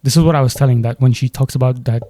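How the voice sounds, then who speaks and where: A man's voice: medium pitch, fairly even intonation, racing, warm tone, no room sound. A man from Delhi with an Indian English accent and Hindi as his first language, thinking aloud and questioning as he reacts to a film trailer.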